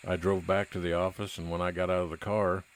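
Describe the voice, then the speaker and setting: A man's voice: low-pitched, flat delivery, fast, a little hiss or hum, lightly acted. A man playing a wry, world-weary private investigator in an old-time radio noir detective drama. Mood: reflective, wry.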